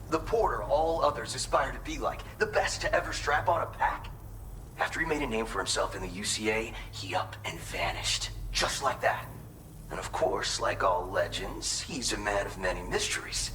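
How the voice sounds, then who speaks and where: A man's voice: lowish voice, lively intonation, moderate pace, background noise, lightly acted. A man acting a folksy, earnest mountain porter in a video game, talking conversationally and with pride. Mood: admiring.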